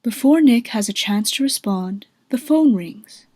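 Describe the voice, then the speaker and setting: A woman's voice: fairly high pitch, animated, measured pace, a little mellow, no echo, studio quality. A woman giving scripted storytelling narration for a radio drama.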